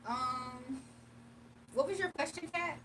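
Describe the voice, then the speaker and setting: A woman's voice: high-pitched, slow pace, fairly bright tone. A young woman chatting casually with her livestream viewers and laughing often, then doing a tarot reading. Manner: chatty, laughs often, reads chat aloud. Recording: distant mic with faint room noise.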